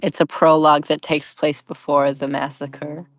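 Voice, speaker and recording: A man's voice: a little high, little pitch movement, normal speed, a bit bright, no room sound. A man chatting casually as a panelist on a podcast. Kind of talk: casual chat.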